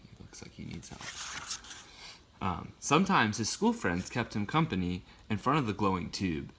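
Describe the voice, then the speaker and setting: A man reading a picture book aloud in an expressive storytelling way, with casual asides. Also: lowish voice, normal speed, a little hiss or hum.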